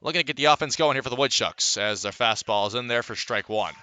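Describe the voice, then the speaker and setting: A man's voice: mid-range voice, fast, bright, forceful tone, a little hiss or hum. A man calling baseball live as a radio play-by-play announcer, fluently reciting stats and lifting his delivery on each play. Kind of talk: live sports play-by-play commentary.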